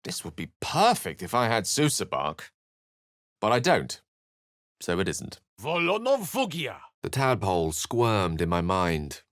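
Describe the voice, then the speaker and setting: Low-voiced, eloquent wizard. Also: male voice, huge pitch swings, fairly bright tone, no room sound, clean studio sound, full character voice. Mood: wry, courteous, then forceful, exclaiming. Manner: articulate, literary, measured delivery. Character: eloquent, courteous, bookish male human wizard, a wry adventurer. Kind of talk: video game character lines and narration.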